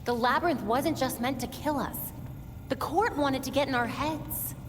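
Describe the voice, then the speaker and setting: A woman playing a steady young vigilante leader in a video game, firm and explanatory. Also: high voice, normal speed, natural voice. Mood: reassuring, serious. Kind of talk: dramatic video game acting. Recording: long reverb, noisy background.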